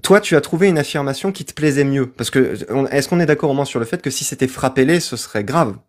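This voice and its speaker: A man's voice: medium pitch, quick pace. A man hosting a live debate, pressing his opponent with insistent, probing questions and demands for sources. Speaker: stream host and debater.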